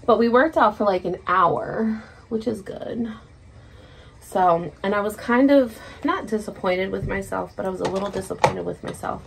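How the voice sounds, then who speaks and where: A woman's voice: mid-range voice, vocal fry, slight background noise. A woman in her thirties chatting casually in a rambling, sweary personal vlog recorded in a room at home. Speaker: vlogger. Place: small indoor room at home.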